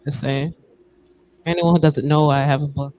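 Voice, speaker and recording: Woman poet, playful, joking, somewhat low voice, spoken word reading. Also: fairly even intonation, moderate pace, mellow, slight background noise. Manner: playful, joking self-promotion. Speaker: poet and author.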